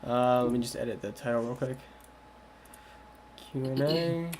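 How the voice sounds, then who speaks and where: A man's voice: medium pitch, a little slow, a little mellow, slight background noise. A man who is a little sick, hosting a casual livestream Q&A about his game project and talking in a loose, rambling way. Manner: loose and rambling, with many fillers.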